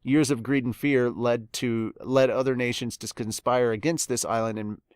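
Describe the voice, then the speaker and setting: Male podcast reviewer, mid-range pitch, casual reactive delivery with halting read-alouds, dry close recording. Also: fairly even intonation, a little mellow, clear, resonant tone, no echo. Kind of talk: casual chat, reading out and reacting to fan comments.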